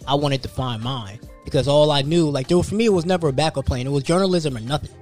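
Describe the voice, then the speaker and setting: A man's voice: fairly high pitch, quick pace, a little mellow, faint music behind. A man in his thirties talking casually and profanely on a podcast, telling stories about work and his goals. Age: 30s. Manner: loose, profane, storytelling. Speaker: podcast host.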